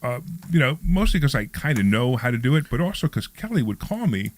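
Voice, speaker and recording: A man's voice: mid-range voice, sing-song, brisk, no echo. A man in his early sixties hosting a casual talk show, rambling and jokey as he repeats himself. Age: early 60s. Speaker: radio show host and real estate investor.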